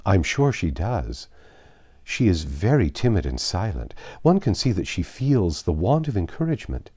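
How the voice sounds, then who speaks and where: A man's voice: sits low, big pitch swings, normal speed, a little mellow, husky, full character voice. A man voicing a pompous, gushing married society woman in a storybook reading, effusive, condescending and emphatic. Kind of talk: audiobook character reading.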